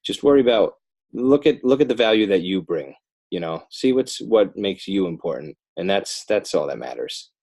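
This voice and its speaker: An American man talking casually as an interview guest, halting and thinking aloud with repeated false starts. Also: low-pitched, animated, creaky, a little mellow, slightly rough. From northern New Jersey, United States. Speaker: interview guest, children's book author and illustrator.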